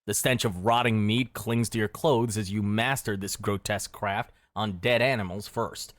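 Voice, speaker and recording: A man reading scripted second-person dramatic narration in a grim, measured storytelling manner. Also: lowish voice, fairly even intonation, no room sound, clean studio sound.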